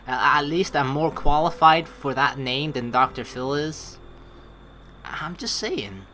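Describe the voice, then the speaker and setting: A man doing game commentary as a let's player, reading narration and lines aloud in an animated, rambling, informal way. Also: a little high, takes it slowly, a little hiss or hum.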